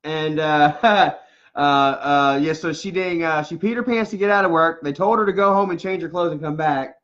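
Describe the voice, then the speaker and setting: A man's voice: fairly high pitch, moderate pace, a bit bright. A man in his thirties chatting casually on a livestream, rambling with lots of "man" and "bro" and frequent laughs. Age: 30s. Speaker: livestream co-host. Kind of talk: casual chat reading live comments. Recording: lo-fi livestream audio.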